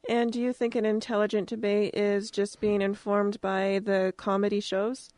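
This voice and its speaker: An American woman with a medium-pitched voice in a dry studio. She hosts an informal campus radio interview, talking plainly and conversationally. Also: little pitch movement, moderate pace, darkish tone, no echo.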